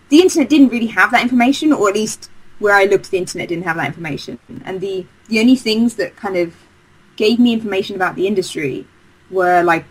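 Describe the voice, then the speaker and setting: A woman in her thirties recounts her path in a casual interview. She talks enthusiastically and rambles, full of fillers and restarts. Her voice sits at a middling pitch. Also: poor audio. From Essex, England. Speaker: game designer guest.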